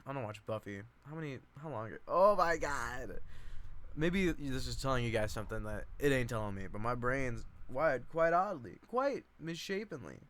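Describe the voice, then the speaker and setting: A man chats to viewers in a rambling, casual way. His mid-pitched voice moves around a lot. He is self-deprecating and swears freely as he goes. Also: lively intonation, moderate pace, fairly bright tone. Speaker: amateur online reader.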